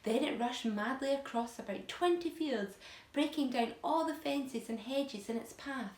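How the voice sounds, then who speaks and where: A woman with a fairly high voice narrates a children's storybook. Her reading is expressive and emphatic, with dramatic phrasing, and the recording has a noticeably roomy echo. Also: measured pace. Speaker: teacher reading a story aloud to pupils.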